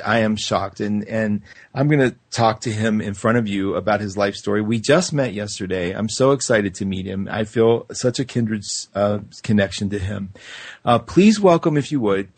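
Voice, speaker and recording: Eifriger Moderator, trockener Klang. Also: male voice, on the low side, a little mellow, breathy edge, no room sound. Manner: enthusiastic, eager, jumps between questions. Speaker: host. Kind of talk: excited interview chat.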